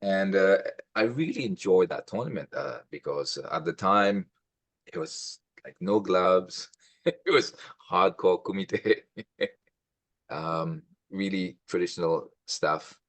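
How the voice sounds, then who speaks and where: Deep-voiced man, close dry sound. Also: expressive, takes it slowly, no room sound. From Trinidad. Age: 50s. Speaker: karate instructor, podcast guest. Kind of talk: casual reminiscing.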